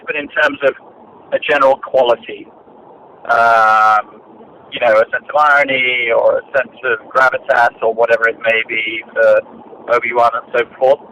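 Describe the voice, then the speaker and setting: Older man, director guest; measured, halting delivery, bright tone, dull archival sound. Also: medium pitch. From Britain. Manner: measured, hesitant, many fillers and restarts. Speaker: film and radio drama director, guest. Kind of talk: reflective interview answers. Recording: dull lo-fi archive recording, faint noise.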